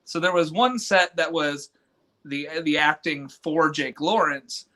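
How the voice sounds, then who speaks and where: A man's voice: fairly high pitch, measured pace, pushed and bright. A man, a stage actor, answering interview questions casually over a video call.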